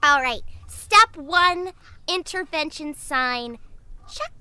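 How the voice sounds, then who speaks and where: A woman's voice: sits high, expressive, takes it slowly, pushed and bright, exaggerated voice. A woman voicing an earnest, bookish young pony in a cartoon comedy dub, prim, eager and orderly. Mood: eager, businesslike.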